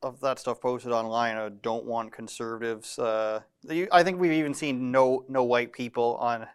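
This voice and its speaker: A man's voice: mid-range voice, steady tone, moderate pace, smooth. A man hosting an interview, joking wryly and laughing often. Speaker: interview host. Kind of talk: casual interview questions.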